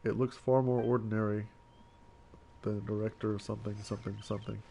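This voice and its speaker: Guy streaming a game, deadpan, kinda deep n gravelly, takes his time. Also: a little fast, noisy background. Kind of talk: reading game text aloud with casual commentary.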